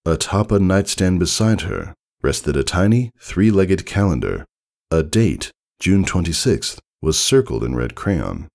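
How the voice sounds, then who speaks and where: A man narrates scripted fiction in a very low voice with a gravelly creak. His delivery is dramatic and measured, with extremely animated swings in pitch. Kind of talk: expressive scripted story narration.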